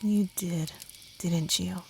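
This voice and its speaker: A woman playing a kind, protective traveller in a gentle ASMR comfort roleplay, speaking softly with some whispering and reassuring slowly. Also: lowish voice, fairly even intonation, gravelly, slight background noise, poor audio. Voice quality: soft, partly whispered. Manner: gentle, slow, soothing, reassuring.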